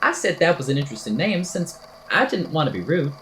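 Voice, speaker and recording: A woman's voice: low voice, sing-song, a little fast, slight background noise. A woman playing an eccentric, whimsical podcaster in an amateur audio drama, telling a strange tale in a verbose, wry, theatrical way. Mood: storytelling, wry. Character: eccentric, whimsical podcaster recounting a supernatural statement.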